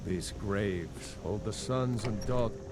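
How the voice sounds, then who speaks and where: Low, solemn graveyard keeper. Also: male voice. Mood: stern. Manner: slow, grave, warning. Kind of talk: video game character dialogue. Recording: noisy game ambience behind voice.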